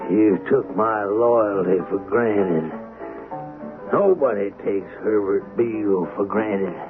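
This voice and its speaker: An actor playing a ruined but proud older man in a Western radio drama, halting and theatrical in his impassioned delivery. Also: on the low side, over music. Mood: defiant. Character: ruined, proud old swindler turned pleading derelict. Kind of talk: 1970s radio drama acting. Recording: muffled, noisy vintage radio recording.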